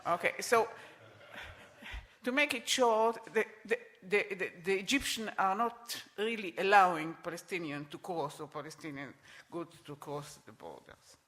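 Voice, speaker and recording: A middle-aged man speaking on a conference panel, emphatic and repeating his points, with halting restarts. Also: high voice, sharp tone. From Israel.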